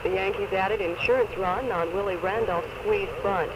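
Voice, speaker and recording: A man's voice: sits high, fairly even intonation, normal speed. A man reading scripted sports news, captured on a 1970s AM radio recording. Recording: very noisy 1970s AM radio taping.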